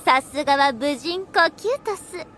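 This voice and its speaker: A woman's voice: high-pitched, animated, normal speed, fairly bright tone, a little hiss or hum. A woman voicing a sly, coquettish vampire girl in an anime audio drama, coaxing in affected archaic courtesan speech.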